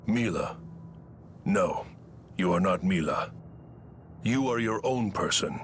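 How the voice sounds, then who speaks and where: Casting: stoic male game spirit, low-pitched, solemn, wise, formal. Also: animated, slight background noise. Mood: solemn, kind. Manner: grave, formal, measured. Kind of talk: video game voice acting.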